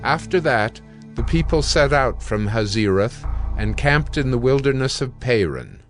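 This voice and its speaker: A man with a middling pitch reads scripture as narrator. His delivery is measured and solemn, with music clearly behind him. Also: sing-song. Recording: music bed under the voice.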